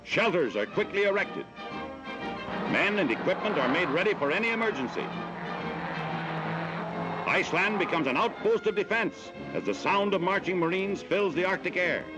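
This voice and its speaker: A man's voice: sits high, expressive, normal speed, pauses often, muffled recording. A man with an American accent narrating a 1940s newsreel in a dramatic, declamatory way, on an old mono film soundtrack. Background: loud orchestral music.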